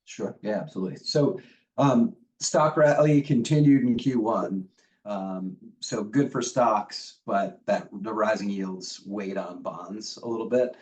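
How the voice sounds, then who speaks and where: A man with a cold speaks in a very deep voice, a little off the mic. He presents a webinar macro update and reads the figures off his slides. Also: measured pace, a little mellow, distant mic. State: has a cold, voice deeper than usual. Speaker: financial analyst giving a macro update.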